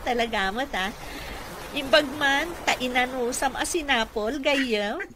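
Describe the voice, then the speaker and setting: A woman's voice: high-pitched, slow pace. A woman playing a kind, concerned caregiver in an Ilocano radio comedy-drama. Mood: friendly, chatty. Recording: noisy radio drama mix.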